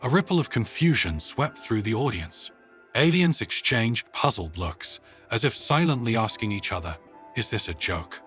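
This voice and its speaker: A man narrating a scripted story in a dramatic, expressive audiobook style. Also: mid-range voice, moderate pace, soft background music.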